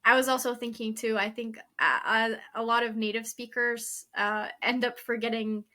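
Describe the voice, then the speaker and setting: A woman hosting a relaxed interview, with a bright, clear voice sitting a touch high. She thinks aloud as she talks and fills the gaps with casual fillers. The tone stays conversational and reflective. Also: normal speed. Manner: reflective, chatty, uses fillers like "um" and "like". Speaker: interview host. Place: lives in Quebec.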